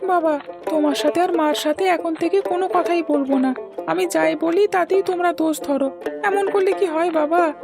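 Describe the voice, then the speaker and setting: Cartoon schoolboy, high voice, eager and petulant, faint music underneath. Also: female voice, fairly even intonation, normal speed, vocal fry. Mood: sulky. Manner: pleading, petulant, childlike. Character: eager, sulky, chatty young schoolboy. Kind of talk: Bengali cartoon story dialogue. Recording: music behind the voice.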